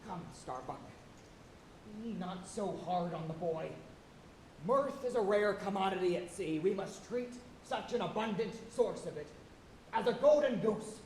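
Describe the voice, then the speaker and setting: A man's voice: high voice, lively intonation, darkish tone, a little hiss or hum. A man playing a jovial, fearless, teasing whaling second mate, in theatrical, old-fashioned sailor speech. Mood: cheery, reassuring. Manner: jesting, rhetorical, unhurried. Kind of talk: theatrical stage acting, archaic sailor speech. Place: college auditorium stage, live performance. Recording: echoey theatre stage.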